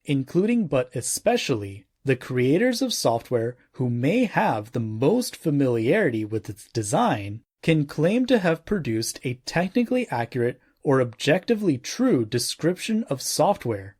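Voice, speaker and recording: A man reading a scripted non-fiction audiobook in a formal, measured way with deliberate phrasing. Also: medium pitch, sing-song, darkish tone, slightly rough. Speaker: audiobook narrator.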